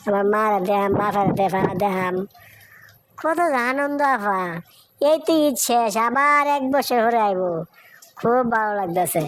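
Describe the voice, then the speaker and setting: A woman's voice: a little high, lightly acted. A woman voicing a joyful, chatty village mother in a cartoon story, effusive and neighbourly, with a regional Bangladeshi Bengali accent. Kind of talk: cartoon moral story dialogue.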